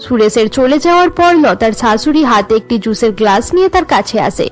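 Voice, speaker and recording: High-pitched woman storyteller. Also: animated, brisk, faint music behind. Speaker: narrator. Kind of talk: scripted cartoon storytelling narration.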